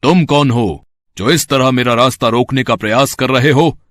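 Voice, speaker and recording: A man's voice: medium pitch, moderate pace, fairly bright tone, no room sound, natural voice. A man acting a proud warrior sage, dry sound. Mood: suspicious, haughty. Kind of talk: acted dialogue in a Hindi mythological story.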